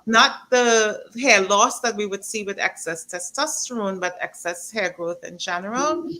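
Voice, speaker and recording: A woman from Trinidad, a doctor, answering health questions in an interview with a measured, explanatory manner. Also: mid-range voice, pushed and bright, rough recording. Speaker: doctor guest.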